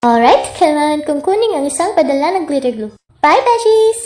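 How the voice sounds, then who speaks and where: A woman's voice: sits high, sing-song, normal speed, darkish tone, roomy sound. A woman voicing a perky, bossy animatronic clown girl in a cartoon fan dub, with a gushing, theatrical manner. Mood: bossy, cheery.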